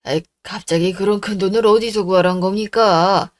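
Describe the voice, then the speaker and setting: A woman's voice: mid-range voice, fairly even intonation, moderate pace, close to an everyday voice. A woman voicing a self-justifying, bickering older in-law who whines and blames, in a radio drama. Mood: whining, blaming. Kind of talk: radio drama story acting.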